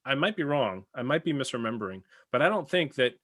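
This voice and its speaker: A man's voice: mid-range voice, sing-song, quick pace, fairly bright tone, no echo, studio quality. A man talking casually and emphatically as a panelist in a fan discussion of a TV series. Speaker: podcast panelist.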